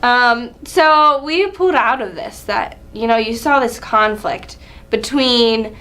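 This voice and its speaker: A young adult woman speaks in a fairly high voice. Her style is casual and conversational, like a student presenting informally. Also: takes it slowly, sharp tone.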